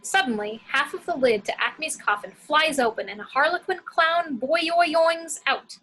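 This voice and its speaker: Bright, high-voiced woman narrating a script. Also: a little slow, poor audio. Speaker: action-description reader.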